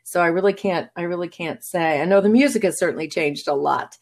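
Woman with a lowish voice, articulate, chatty, restarts a lot, middle-aged. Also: fairly quick, darkish tone. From New England, USA. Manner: articulate but chatty, many restarts and laughs. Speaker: voice actor, interview guest. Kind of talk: relaxed conversational interview.

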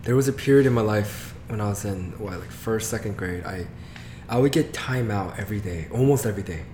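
A man's voice: lowish voice, brisk. A young man in his twenties from Guam, a comedian chatting casually as a guest and acting out the people in his stories. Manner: animated storyteller, acts out his parents' voices. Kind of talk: casual interview chat. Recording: echoey room with faint noise.

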